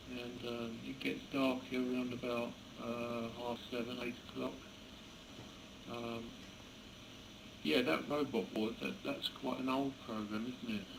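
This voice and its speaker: Male radio hobbyist, halting casual delivery, gravelly fry, clearly noisy camera audio. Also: mid-range voice. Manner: hesitant, halting, chuckling. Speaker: amateur radio operator. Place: indoors beside a computer.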